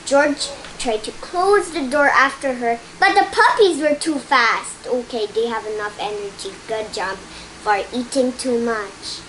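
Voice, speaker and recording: A child reading a picture book aloud in a halting way, stumbling and repeating words, with spoken asides. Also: mid-range voice, pushed and bright, a little hiss or hum, low-quality recording. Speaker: storytime reader.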